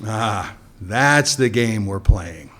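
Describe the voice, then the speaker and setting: A man's voice: on the low side, expressive, takes it slowly, a bit bright, exaggerated voice. A man playing a cynical male smuggler turned hotel owner, dry and sardonic, in a radio play. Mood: wary. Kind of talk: amateur radio drama acting.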